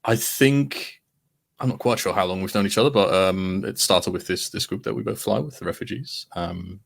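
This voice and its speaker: A man chatting as a podcast guest, laid-back and self-deprecating, often trailing off quietly. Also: low-pitched, normal speed, vocal fry. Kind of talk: casual chat.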